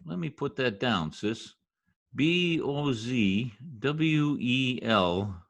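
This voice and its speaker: Animated male voice, American accent, pompous bumbling census taker, acted by a man, slangy, old-time radio comedy. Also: medium pitch, extremely slow. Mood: self-important. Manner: slangy, pompous, mangles words. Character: blustering, folksy, middle-aged American husband, bumbling census taker.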